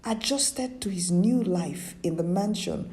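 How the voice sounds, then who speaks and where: A woman narrating a scripted story in a measured, dramatic storytelling style. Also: medium pitch, mellow. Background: music.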